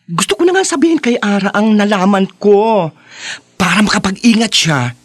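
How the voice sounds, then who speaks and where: A man's voice: high voice, lively intonation, normal speed, over music. An actor, a man with a Filipino accent, voicing a gossipy, flamboyant and playfully indignant young student in a radio drama. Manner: Taglish, sassy gossiping.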